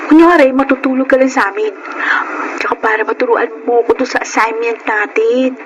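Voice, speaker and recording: A high-voiced young Filipina student acted by a woman, fake-friendly and pushy. She wheedles and plots in exaggerated radio drama style. The recording is plainly noisy. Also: fairly quick, lightly acted. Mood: coaxing.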